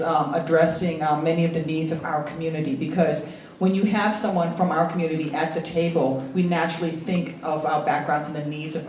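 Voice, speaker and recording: A woman's voice: low-pitched, a little mellow, recorded from a distance, a little hiss or hum. Woman with a slight Hawaiian accent, echoey hall. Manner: earnest, halting, many ums and restarts. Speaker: federal agency official on a panel. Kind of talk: panel remarks. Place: event hall at a symposium.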